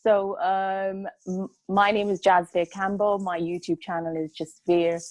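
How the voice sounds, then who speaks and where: A woman's voice: mid-range voice, little pitch movement, a little slow, darkish tone, no echo, poor audio. A woman co-hosting an art chat show, talking casually with many hesitant fillers. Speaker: co-host and artist.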